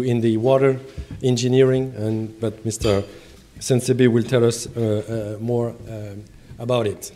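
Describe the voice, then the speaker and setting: A French man with a French accent moderating a trade panel, speaking formally with many pauses, fillers and restarts. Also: medium pitch, darkish tone. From France.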